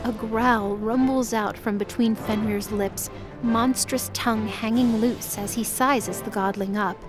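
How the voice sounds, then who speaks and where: A woman's voice: a little high, fairly even intonation, measured pace. A woman reading dramatic scripted narration as the storyteller of an audio drama. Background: music.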